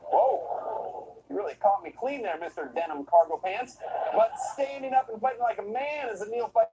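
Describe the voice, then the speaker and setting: A man hosting a comedy show, narrating clips and interviewing a guest with deadpan, mocking sarcasm. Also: high-pitched, normal speed, highs cut off, slight background noise.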